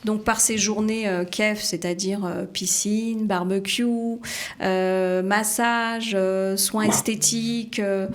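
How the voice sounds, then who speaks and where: A woman's voice: medium pitch, steady tone, slow. Female charity organiser, middle register, conversational and hesitant, speaking in fragments. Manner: hesitant, piecemeal phrasing. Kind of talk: conversational interview answers.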